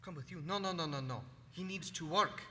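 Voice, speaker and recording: An urgent, loyal associate in emphatic theatre style. His voice is high and piercingly bright, carrying through a hall with long reverb. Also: fairly quick. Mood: protective, firm. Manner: emphatic, insistent. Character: loyal, urgent male friend and lab associate. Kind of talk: musical theatre staged reading. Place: theatre stage.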